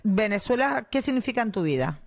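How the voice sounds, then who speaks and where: A woman's voice: mid-range voice, very animated, brisk, gravelly. A woman radio interviewer, prompting her guest with short questions.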